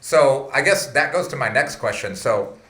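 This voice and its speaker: Give me a man, bright voice, asking halting questions in an echoey studio. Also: mid-range voice, expressive. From Colombia, raised in the United States. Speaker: podcast co-host. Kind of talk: casual interview chat. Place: small studio room. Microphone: studio mic on arm. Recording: roomy studio echo.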